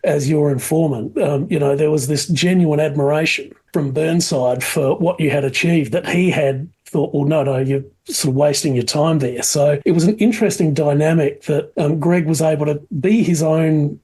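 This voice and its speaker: A man hosting a podcast interview in a conversational, thoughtful and halting way. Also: fairly high pitch, warm tone, breathy edge. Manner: hesitant, halting, thoughtful phrasing.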